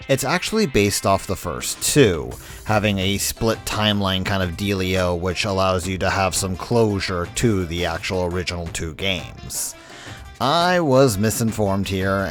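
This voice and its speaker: A man narrating a scripted video essay in a conversational way with wry asides. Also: sits low. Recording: background music under voice. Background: music.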